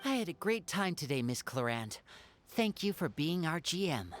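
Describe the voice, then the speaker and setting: A woman's voice: sits low, sing-song, moderate pace, faint music behind. A woman playing a polite, thoughtful adventurer in a fantasy video game. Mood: grateful.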